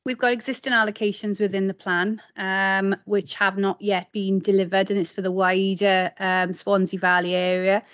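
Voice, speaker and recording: A woman's voice: medium pitch, level tone, moderate pace, hardly any pauses, a bit bright. A woman council officer giving a factual answer at a meeting. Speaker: planning officer. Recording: dull video call audio.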